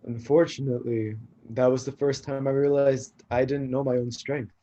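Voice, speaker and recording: A man's voice: mid-range voice, little pitch movement, moderate pace, dark tone, dull audio, natural voice. A man playing a clumsy young god of destruction, earnest and frustrated, in a staged play reading.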